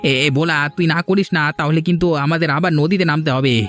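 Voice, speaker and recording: Guy doing a hasty cartoon villager, highish voice, talks really fast, placating everyone. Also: steady tone, a bit bright, soft background music, natural voice. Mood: anxious, placating. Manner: placating, urgent. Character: hasty, submissive village troublemaker urging his partner on. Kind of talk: Bengali cartoon dialogue.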